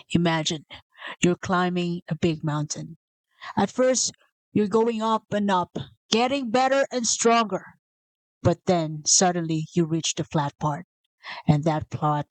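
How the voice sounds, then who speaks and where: A woman's voice: on the low side, no echo, poor audio. A woman from the Philippines with a Filipino accent and Bisaya as her first language, giving a casual, conversational explanation that speaks straight to her listeners. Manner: conversational, addresses listeners directly, self-correcting. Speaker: motivational presenter.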